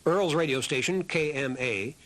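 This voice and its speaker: A man's voice: a little high, moderate pace, a bit bright. A man reading scripted documentary narration as the narrator of a history program.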